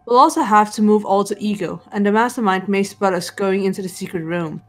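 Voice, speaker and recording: A woman playing a composed, serious teenage girl in an anime game fan dub, speaking in a measured, deliberate way. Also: mid-range voice, steady tone, faint music behind, lightly acted. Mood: calm, cautious. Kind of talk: visual novel fan dub voice acting.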